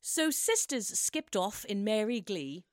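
A woman's voice: medium pitch, very animated, takes it slowly, no room sound, studio quality. A woman co-hosting a podcast, narrating a rhyming comic story in a playful, theatrical way.

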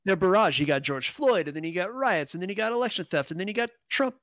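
Guest, forties, male, slightly high voice; sarcastic, halting, dry sound. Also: lively intonation, a bit bright, no echo. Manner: sarcastic, joking, halting delivery. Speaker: podcast guest. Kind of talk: casual talk show banter.

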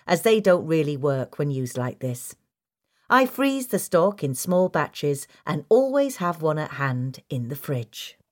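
A woman reading a scripted instructional piece as a narrator. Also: fairly low pitch. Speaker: narrator reading a gardening article.

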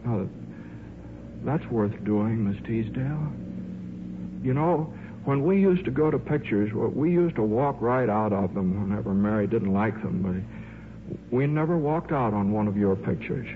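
An actor playing a shy, earnest scientist in a 1950s radio drama, speaking in a halting, stammering, bumbling way. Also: male voice, on the low side, animated. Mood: heartfelt. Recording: muffled, noisy 1950s radio recording.

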